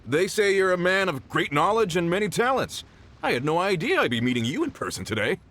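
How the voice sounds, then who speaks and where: A man's voice: high voice, very animated, a little fast, fairly bright tone. A man voicing an older mining foreman, boastful yet respectful and enthusiastic, in a video game. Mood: delighted, impressed. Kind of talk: video game dialogue acting.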